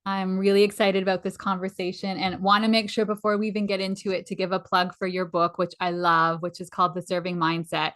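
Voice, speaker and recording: A woman hosting a leadership podcast. She introduces her guest and asks questions in an upbeat, gracious tone. Her voice sits at a medium pitch. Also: little pitch movement, fairly quick, hardly any pauses, fairly bright tone. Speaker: podcast host. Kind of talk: podcast interview.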